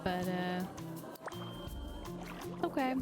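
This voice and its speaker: A woman's voice: lowish voice, extremely slow, music in the background. A woman streaming a video game, giving casual, rambling commentary as she thinks aloud. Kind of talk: casual live gaming commentary.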